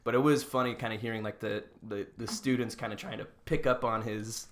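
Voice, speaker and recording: A man's voice: lowish voice, fairly even intonation, brisk. A young man co-hosting a podcast, telling anecdotes casually with a jokey, mildly sarcastic manner. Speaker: podcast co-host.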